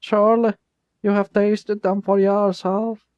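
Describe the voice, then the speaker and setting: A sly young thief with high, formal, fawning speech, as a man puts him on. Behind the politeness is a quiet threat. The sound is dull and dry, like a lo-fi home recording. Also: unhurried, no room sound. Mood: flattering. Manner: overly formal, flattering then menacing. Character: cunning young male thief, falsely polite.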